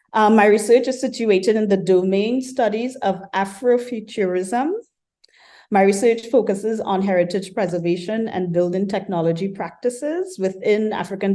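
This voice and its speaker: A woman from Trinidad and Tobago, an architecture professor giving a measured welcome talk at an online colloquium, with frequent ums and uhs, on a computer mic. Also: medium pitch, mellow.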